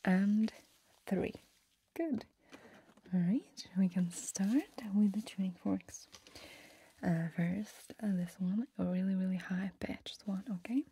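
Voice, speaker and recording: A woman doing a gentle, reassuring ASMR roleplay as an ear examiner, mostly in a breathy whisper with short pauses. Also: mid-range voice, fairly even intonation.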